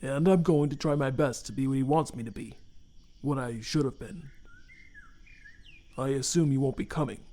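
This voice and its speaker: A man playing a remorseful, haunted skeleton scientist and father, speaking formally and haltingly with earnest care in a fan comic dub. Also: medium pitch, steady tone, dark tone, natural voice. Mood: subdued, guilty.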